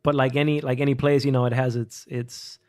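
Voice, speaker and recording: A man's voice: medium pitch, flat delivery, a little fast. A man from Costa Rica, a singer guest on a podcast, easygoing and joking in casual chat, a bit stuffy from a cold. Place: studio.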